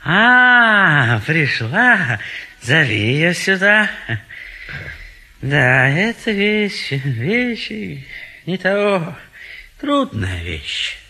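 Fussy bachelor gentleman, swooping bright voice. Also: on the high side, sing-song, extremely slow, full character voice. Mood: fretful musing. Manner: hesitant, ruminating, repeats himself. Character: fussy, indecisive gentleman bachelor pondering marriage. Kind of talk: theatrical Russian classic comedy audio play.

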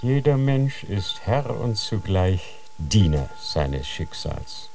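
Brief: an aging inquisitor, low, slow, solemn authority, radio play. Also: male voice, sing-song, vocal fry, a little mellow, a little hiss or hum, full character voice. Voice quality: grave, measured, commanding. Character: stern elderly male grand inquisitor. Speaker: voice actor. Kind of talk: radio drama acting.

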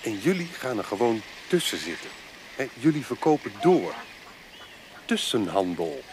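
An actor playing a wheedling, self-important rogue trader in a period audio drama, chatty and breezy. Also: male voice, mid-range voice, sing-song, moderate pace, noisy background, close to an everyday voice. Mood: scheming.

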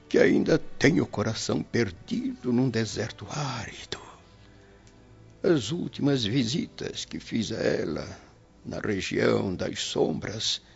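A very gravelly, compassionate old spiritual father speaks in solemn radio drama style. His delivery is slow and swings widely in pitch, like a grave sermon. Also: heavily acted. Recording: dull lo-fi audio with music.

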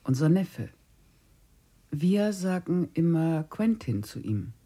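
A soothing, practical aunt for a radio drama. Her voice is mellow and a little rough, with lively, expressive movement in its pitch. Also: slow pace, exaggerated voice. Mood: polite. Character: gentle, practical aunt, calming her sister. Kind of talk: German radio drama acting.

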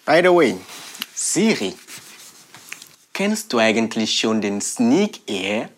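A man performing spoken word in the style of a product pitch. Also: sing-song, slow pace.

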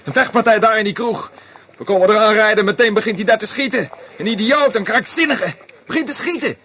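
A man's voice: sits high, a little fast, fairly bright tone, slight background noise, voice pushed up. A man reading aloud and voicing a flustered male police officer who talks in short, agitated bursts.

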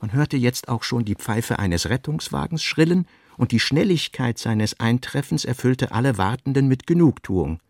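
Creaky-voiced man narrating literary prose. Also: mid-range voice, expressive, normal speed, few pauses, no room sound, clean studio sound. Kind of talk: scripted literary narration for a radio play.

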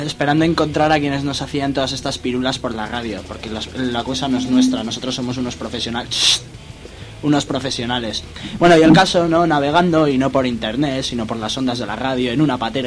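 A man's voice: mid-range voice, slight background noise. A man hosting a radio show with comic, mock-boastful introductions, repeating himself for effect. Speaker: radio host.

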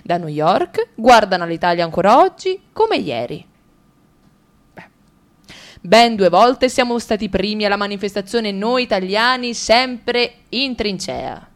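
A woman's voice: medium pitch, expressive, a little slow. Woman narrating with wry irony. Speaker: radio play actress. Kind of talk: satirical narration.

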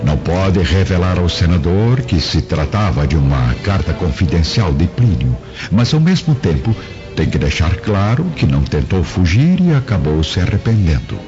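A man's voice: low voice, big pitch swings, normal speed. A man giving dramatic scripted narration for a radio drama. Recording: dull lo-fi audio with music.

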